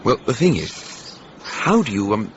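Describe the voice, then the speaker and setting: An actor playing a buttoned-up English gentleman in a radio drama, proper, slightly pompous and hesitant. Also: lowish voice, very animated, measured pace. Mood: awkward, tender. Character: fussy, buttoned-up English gentleman neighbour, awkwardly fond. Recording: slightly dull, clearly noisy background.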